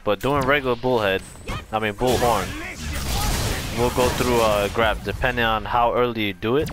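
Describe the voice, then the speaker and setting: A man's voice: medium pitch, fairly even intonation, normal speed, music in the background. A man giving a casual, unscripted gameplay explanation, rambling and correcting himself as he goes. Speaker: video game tutorial creator.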